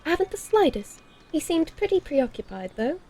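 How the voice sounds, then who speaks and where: A woman's voice: high voice, sing-song, normal speed, mellow, faint music behind. A woman playing a refined, coy female vampire with proper phrasing in a horror-comedy audio drama. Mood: poised, breezy. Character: genteel, predatory female vampire immigrant out west.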